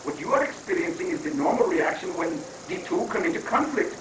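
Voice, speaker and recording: High-pitched coaxing guru, acted by a man. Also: sing-song, fairly quick. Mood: soothing explaining. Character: calm, coaxing male guru advising a president. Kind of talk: dramatic reading of a satirical play. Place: roomy indoor live reading, far from the mic. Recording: roomy, distant, noisy 1980s live recording.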